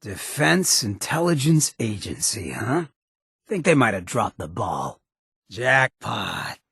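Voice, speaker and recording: A roguish ghoul mayor from a video game, with a heavily husky, shredded rasp. He talks in a sly, wry, streetwise drawl full of swagger. His lowish pitch swings around expressively from phrase to phrase. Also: big pitch swings, takes it slowly, a bit bright, no room sound, clean studio sound, heavily acted. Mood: sardonic. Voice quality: raspy, gravelly. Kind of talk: video game character dialogue.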